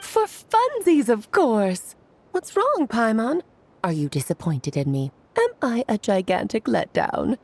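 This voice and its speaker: A woman's voice: a little high, sing-song, measured pace, darkish tone, slight background noise. A woman voicing a playful, eccentric, theatrical adult witch in a video game, with a whimsical manner. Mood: playful teasing.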